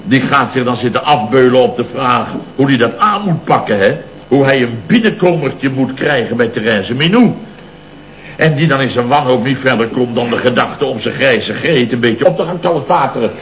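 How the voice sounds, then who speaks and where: An actor playing an indignant, rambling middle-aged contractor boss in a radio comedy, full of exclamations and rhetorical questions. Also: male voice, mid-range voice, normal speed, a bit bright, close to an everyday voice. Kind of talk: 1970s Dutch radio comedy acting. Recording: dull, noisy old radio recording.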